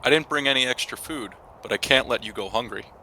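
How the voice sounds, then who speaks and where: A man's voice: mid-range voice, a little fast, pushed and bright, slight background noise, poor audio. A man playing a kind, determined adult runner in a children's storybook read-aloud. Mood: concerned. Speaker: read-aloud reader voicing a character. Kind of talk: expressive children's storybook dialogue.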